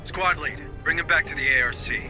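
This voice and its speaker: An actor playing a curt, authoritative and wary space marine officer in a sci-fi game. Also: male voice, a little high, a little fast, over music, natural voice. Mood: stern, commanding. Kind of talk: video game voice acting.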